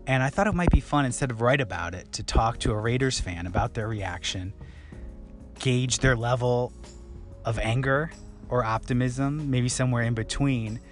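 A man's voice: medium pitch, moderate pace, faint music behind. A man hosting a casual podcast interview, playful and wisecracking. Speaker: podcast host.

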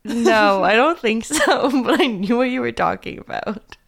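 A young woman co-hosting a podcast, chatting casually and laughing often, then reading the show's intro in a plain, even way. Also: a little high, measured pace, vocal fry. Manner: giggly, reads show intro plainly. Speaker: podcast co-host.

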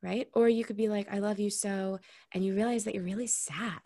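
A woman with a gravelly, creaky edge to her voice talks candidly as an intimacy coach. She is reflective and unguarded and lets a casual swear slip in. The sound is close and dry. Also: mid-range voice, steady tone, fairly quick, warm tone, no room sound. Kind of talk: casual podcast conversation.

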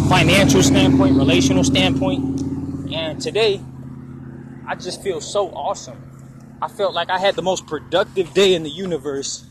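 A man in his twenties talking casually for his podcast while walking outdoors by a road, rambling and swearing freely. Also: high-pitched. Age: 20s. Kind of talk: casual personal monologue. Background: passing traffic.

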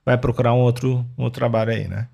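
A man with a medium-pitched voice co-hosts a casual podcast. He cracks jokes and laughs often while chatting. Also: steady tone, fast talker, creaky, mellow. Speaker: podcast co-host. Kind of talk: casual chat.

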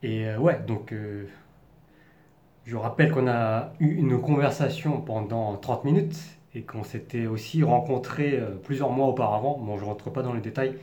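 A man's voice: mid-range voice, normal speed, warm tone. A young man from the Paris region telling a personal story to camera in an earnest, reflective way, searching for his words. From France, Paris region. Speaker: vlogger. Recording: echoey room.

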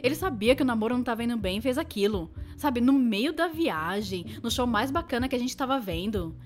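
Blunt, opinionated Brazilian woman played by an actress, fast and fairly high, slangy audio drama delivery over music. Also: expressive, natural voice. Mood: defensive. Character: blunt, loyal, no-nonsense inner voice of a woman. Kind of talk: conversational audio drama acting.

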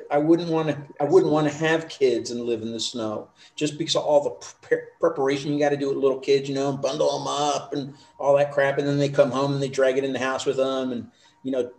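A man's voice: mid-range voice, a little mellow. Easygoing middle-aged man, roomy sound. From United States. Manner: easygoing, chatty storytelling. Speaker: interview guest. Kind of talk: casual chat.

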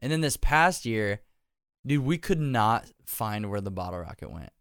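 Young male musician, smooth clear voice, dry close sound, excitable storyteller. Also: on the low side, normal speed, dry recording. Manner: laughs through his stories. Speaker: touring band musician. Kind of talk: casual podcast chat.

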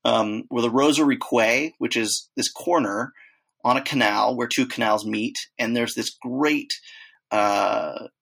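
A man's voice: medium pitch, measured pace, gravelly, fairly bright tone, slightly rough. A man co-hosting a casual chat, telling rambling travel stories with lots of fillers and acted-out quotes. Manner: rambling storyteller, acts out quotes, many fillers.

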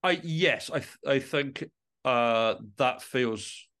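A man's voice: medium pitch, slow pace, fairly bright tone. A middle-aged man speaking as an interview guest in a conversational way, thoughtfully and with hesitant rephrasing. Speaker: guest musician, composer and writer.

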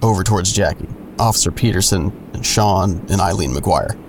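A man's voice: sits low, moderate pace, background noise, natural voice. An actor playing a wry, world-weary narrator in an audio drama, telling his story in dry, sardonic asides. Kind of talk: first-person noir-like audio drama narration.

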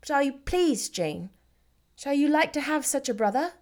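A woman's voice: high voice, expressive, normal speed, darkish tone, pitched above the performer's own voice. A woman voicing a spirited, witty young woman who talks playfully and teasingly.

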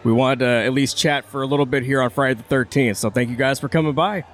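Male podcast host, medium pitch, agreeable and informal with guests. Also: steady tone, fast, a bit bright. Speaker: show host, interviewer. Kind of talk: casual podcast chat.

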